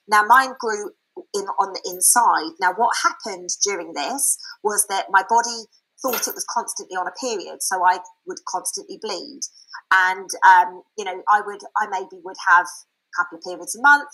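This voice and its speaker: A woman giving a frank, chatty personal testimony that turns into a sales pitch, with many fillers and restarts. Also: a bit bright, poor audio. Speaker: speaker sharing a product testimonial.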